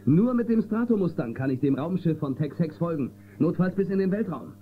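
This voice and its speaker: An actor playing a confident, commanding space-western marshal with a firm, authoritative manner in a children's radio play. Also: male voice, fairly high pitch, lively intonation, a little fast, slight background noise, close to an everyday voice. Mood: determined. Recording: muffled phone-like old cassette sound.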